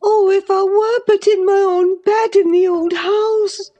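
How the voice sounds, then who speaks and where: A fragile, feverish young woman, as a woman reader puts her on, pitched high and talking slowly in a mellow, soft tone. She sounds delirious and pleading, with faint music underneath. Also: dull audio. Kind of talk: audiobook character reading.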